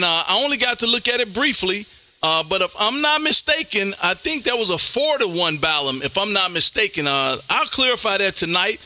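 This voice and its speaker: A high-pitched American man with a very bright, forceful voice chats casually as a ham radio host. His talk is folksy and full of radio jargon. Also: dry recording. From Texas, United States. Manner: folksy, says "man" often, radio call-sign jargon. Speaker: amateur radio livestream host.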